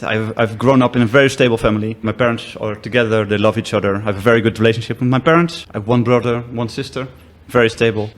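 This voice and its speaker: Dutch guy in his 30s, easygoing podcast guest, restarts sentences a lot, mid-pitched voice. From Netherlands. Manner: relaxed, self-deprecating storyteller with restarts. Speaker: guest, company CEO. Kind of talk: casual podcast conversation.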